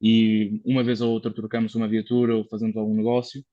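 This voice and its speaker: A man's voice: lowish voice, completely flat delivery, fast, warm tone, dry recording, muffled recording. A Portuguese man, a car consultant, talking casually as a podcast guest, explaining things at length with many fillers and restarts. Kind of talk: casual conversational interview.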